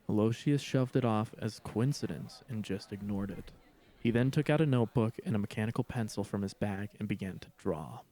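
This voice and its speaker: A man's voice: fairly low pitch, a little mellow. A man reading a scripted story aloud as its narrator.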